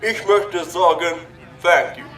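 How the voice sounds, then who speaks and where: A man's voice: high voice, takes it slowly, fairly bright tone, distant mic. A man playing a friendly older American businessman with an American accent, giving a grand speech in a children's audio drama, mixing English words into his German. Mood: smooth, grandiose.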